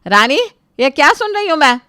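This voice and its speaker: A woman voicing a middle-aged mother-in-law, motherly but quick to scold, in a family story. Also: sits high, sing-song, bright, forceful tone, no echo, clean studio sound, pitched above the performer's own voice, exaggerated voice. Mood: angry, scolding.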